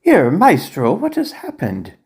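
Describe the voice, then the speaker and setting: An actor playing an anxious, dutiful male assistant in an audio farce, with a creaky, husky voice. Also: on the high side, huge pitch swings, a little slow, darkish tone, full character voice. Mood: worried. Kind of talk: farcical audio drama acting.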